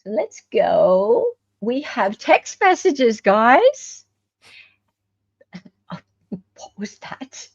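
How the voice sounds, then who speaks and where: A lively Australian woman with a highish voice that swings widely in pitch. She chats casually as a livestream host, leaning on key words and tossing out questions. The sound is close and dry, though slightly dull and lo-fi. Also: vocal fry, slightly rough, no echo. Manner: chatty, dramatic pauses and emphasis, rhetorical questions. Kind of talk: casual live gossip commentary.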